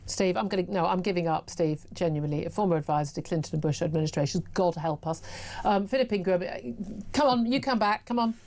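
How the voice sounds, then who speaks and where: A woman's voice: on the low side, fast talker, darkish tone. A woman presenting a heated TV panel debate, combative and exasperated, often cutting in.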